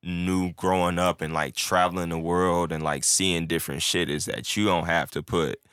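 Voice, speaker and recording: Deep-voiced man in loose, rambling chat. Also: flat delivery, normal speed, fairly bright tone, smooth, dry recording, clean studio sound. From born in Turkey, raised in the United States. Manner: loose, rambling, heavy filler, acts out quoted friends. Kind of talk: casual chat.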